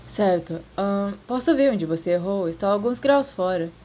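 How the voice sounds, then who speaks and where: A woman's voice: mid-range voice, expressive, moderate pace, dark tone, lightly acted. A woman with a Brazilian accent voicing a polite, bookish, guarded older schoolboy in a story, casual and slightly hesitant. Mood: friendly, helpful.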